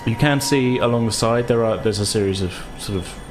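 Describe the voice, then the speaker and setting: A man's voice: fairly low pitch, brisk, music in the background. A man narrating a tabletop roleplaying game as game master, descriptive and conversational.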